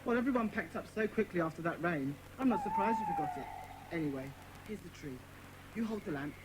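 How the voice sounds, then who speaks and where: A lively, brisk schoolboy played by a woman, confident and a little bossy as leader of his club. The delivery is authoritative, in a children's audio drama, over clear background noise. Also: mid-range voice. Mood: calm, confident.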